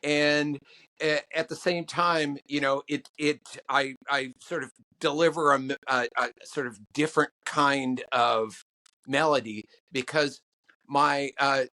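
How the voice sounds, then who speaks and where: A man being interviewed as a songwriting guest, talking in halting stops and restarts with many fillers. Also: fairly even intonation, sharp tone. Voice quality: low voice. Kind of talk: podcast interview answers.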